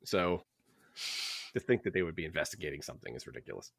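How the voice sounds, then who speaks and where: Wry man podcaster with swooping pitch. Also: expressive, fast, creaky. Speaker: podcast co-host. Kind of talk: casual chat.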